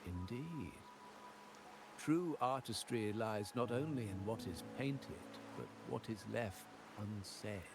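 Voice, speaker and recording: Slow, low-voiced elderly gentleman. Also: expressive, noisy background. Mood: contemplative. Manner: measured, formal, contemplative. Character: composed elderly gentleman, philosophical art admirer. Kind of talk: video game voice acting. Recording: music behind the voice. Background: loud game music.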